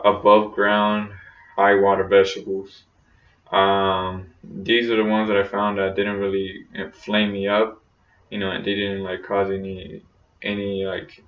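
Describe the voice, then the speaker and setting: A young man talking casually in a personal vlog, rambling with lots of fillers and restarts. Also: on the low side. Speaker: vlogger. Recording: roomy, distant, dull lo-fi sound.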